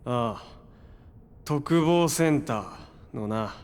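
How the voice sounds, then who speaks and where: An actor playing a brash, warm-hearted young man with casual, slangy speech in a Japanese audio drama. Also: mid-range voice, expressive, drawn-out words, clean tone. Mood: grim, reluctant.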